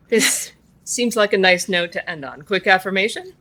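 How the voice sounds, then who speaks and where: A woman playing a measured, supportive group leader in an audio drama, gentle and composed with light amusement. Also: medium pitch, lively intonation, slight background noise. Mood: amused, calm.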